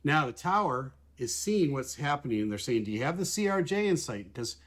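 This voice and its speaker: A man with a mid-pitched voice works as an aviation analyst, explaining things step by step. He is measured and plain-spoken, restating technical radio calls in simple everyday language. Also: sing-song, a little hiss or hum. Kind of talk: explanatory commentary over air traffic recordings.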